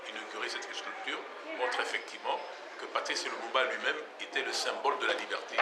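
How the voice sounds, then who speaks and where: A man answering an interview formally and emphatically, with measured rhetorical repetition. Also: medium pitch. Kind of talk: formal, spontaneous political interview. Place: outdoor public square. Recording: echoey, very noisy outdoor recording.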